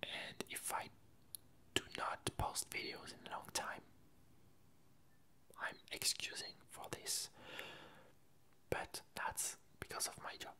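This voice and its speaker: Slow, bright ASMR whisper. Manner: hesitant, halting, self-correcting. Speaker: ASMR video creator. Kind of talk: whispered ASMR, casual rambling chat.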